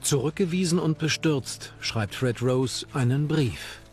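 A man's voice: fairly high pitch, measured pace, soft background music. A man reading scripted narration as the narrator of a true crime documentary.

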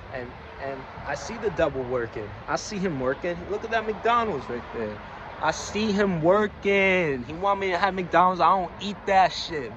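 A man's voice: fairly high pitch, muffled recording. A young man talking casually to camera in slangy, sweary vlog style, recorded on a phone at arm's length. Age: young adult. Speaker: vlogger. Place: outdoors at a gas station, later inside a car. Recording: loud outdoor and car noise.

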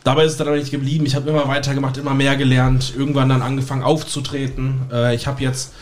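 Podcast guest, male, twenties, medium-pitched voice, informal conversational delivery. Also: little pitch movement, fast. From North Rhine-Westphalia, Germany. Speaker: podcast guest, stage magician. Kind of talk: casual chat.

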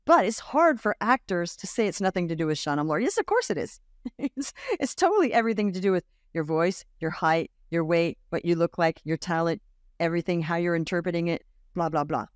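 A woman in her fifties, raised in Australia, telling stories as a podcast guest, lively and acting out the voices of others. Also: fairly low pitch, brisk, no room sound, clean studio sound. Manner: animated storyteller, acts out quoted voices, laughs often. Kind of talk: casual interview storytelling. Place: home room with bookshelf. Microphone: large studio mic on boom arm, video call.

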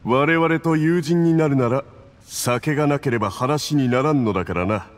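A man's voice: mid-range voice, normal speed, natural voice. A man voicing a smug, scheming foreign agent in an anime game, patronizing and self-assured. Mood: smug, pressing.

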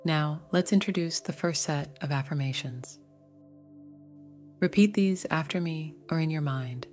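A woman narrating a scripted guided meditation in a gentle, soothing, measured way. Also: sits low, soft background music.

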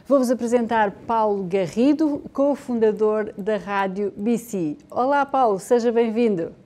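A woman's voice: fairly high pitch, measured pace, warm tone. A middle-aged woman with a European Portuguese accent, presenting and interviewing as a television host in a studio.

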